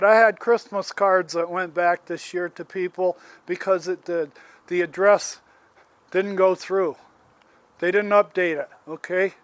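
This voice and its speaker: A man's voice: sits high, no room sound. A man in his seventies filming himself outdoors around his yard on a handheld phone, airing an aggrieved complaint in a plain-spoken, rambling way with restarts. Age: 70s. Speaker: homeowner. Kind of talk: casual complaint vlog, filming as he walks.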